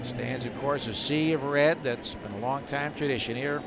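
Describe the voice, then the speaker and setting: A man's voice: mid-range voice, normal speed. A man calling a live baseball game on the radio as a play-by-play announcer. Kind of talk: live sports commentary. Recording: noisy live ballpark radio broadcast. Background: stadium ambience and noise.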